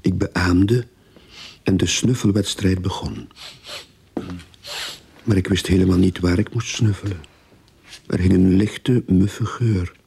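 A man's voice: deep voice, fairly even intonation, takes it slowly, long pauses, a little mellow, heavily acted. An actor playing a weary, wry young novice detective, narrating his case in a radio play. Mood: musing. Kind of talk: radio drama narration and dialogue. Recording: radio play with clear background noise.

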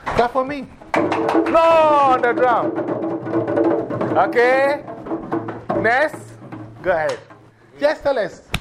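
A man's voice: very high voice, lively intonation, very slow, long pauses, loud music behind the voice. A man with a Ghanaian accent giving informal classroom instruction, directing people and repeating his instructions. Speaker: teacher of a drumming and English class.

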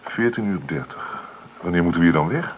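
An easygoing farmer's son played by a man in a radio drama, with a deep voice and plain, matter-of-fact delivery. The old recording is clearly noisy. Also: brisk, natural voice. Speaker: actor. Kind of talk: Dutch radio play acting.

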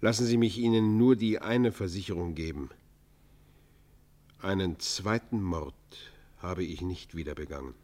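A low-pitched bandit leader laying bare his conscience. He is eloquent and anguished, with a proud streak under the remorse. The delivery is solemn, formal and declaimed in the manner of classic radio drama. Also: a little slow, a little mellow. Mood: subdued, contrite. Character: repentant robber chieftain confessing, anguished, proud, eloquent. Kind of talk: classic German radio play acting, literary monologue.

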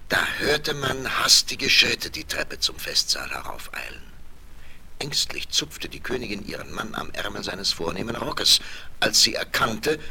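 A man narrating a scripted fairy tale as storyteller, in a measured, expressive way. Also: deep voice, vocal fry. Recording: 1970s vinyl rip with faint noise.

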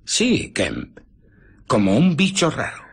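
A man with a Castilian Spanish accent playing an embittered, vengeful invisible scientist in a radio drama, brooding theatrically with pauses and breathy murmurs. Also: medium pitch, wildly swooping pitch, full character voice. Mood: bitter brooding.

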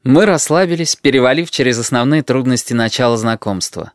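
Casting: male first-person audiobook reader, mid-pitched, even and measured, very dry studio sound. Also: no room sound. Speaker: audiobook narrator.

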